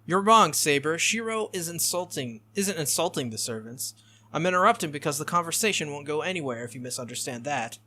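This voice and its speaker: A man's voice: a little high, animated, brisk, pushed and bright, exaggerated voice. A man doing a bossy teen girl mage. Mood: explaining. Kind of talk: visual novel read-aloud.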